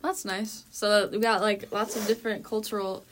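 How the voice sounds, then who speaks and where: A woman's voice: mid-range voice, little pitch movement, normal speed, a little hiss or hum. A teenage girl co-hosting a casual chat, teasing and upbeat. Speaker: co-host.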